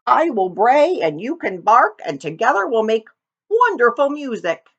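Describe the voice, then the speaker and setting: A woman's voice: a little high, sing-song, a little slow, a bit bright, lo-fi sound, pitched above the performer's own voice, full character voice. A woman voicing a friendly, encouraging old male donkey in a storybook.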